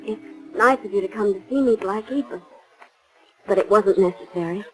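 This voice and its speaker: A woman's voice: medium pitch, moderate pace, over music, lightly acted, rough recording. An actress playing a reserved, subdued working woman who answers tersely, in 1940s radio drama style. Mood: polite, reserved. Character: guarded, subdued working woman, switchboard operator. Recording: remastered 1940s radio recording.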